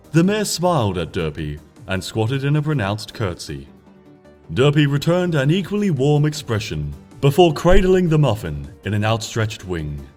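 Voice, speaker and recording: A man narrating a story from a script in an expressive, measured audiobook style, with music playing behind him. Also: medium pitch. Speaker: fan fiction reader, narrator. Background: background music.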